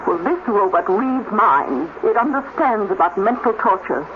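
A woman's voice: mid-range voice, sing-song, moderate pace, close to an everyday voice. A woman playing a proud, bitter robot scientist, intense and sardonic, in a 1950s radio drama. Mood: cool, sardonic. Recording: tinny, very noisy 1950s radio recording.

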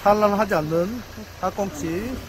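A man's voice: high-pitched, moderate pace. A man casually explaining a catch as an angler, recorded outdoors on a quay. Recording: outdoor, background voices and traffic.

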